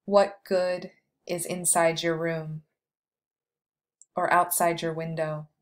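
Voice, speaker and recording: A woman leading a guided meditation, speaking slowly and softly with long pauses between phrases. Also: lowish voice, flat delivery. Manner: slow, soft, soothing delivery with long pauses.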